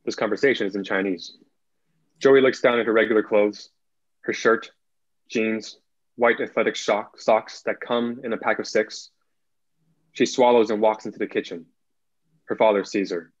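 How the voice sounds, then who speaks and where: Low-voiced young man narrating, muffled sound. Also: steady tone, moderate pace, long pauses, no echo. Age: young adult. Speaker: narrator reading stage directions. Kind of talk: scripted narration. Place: indoor room at home, video call. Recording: dull, lo-fi video call.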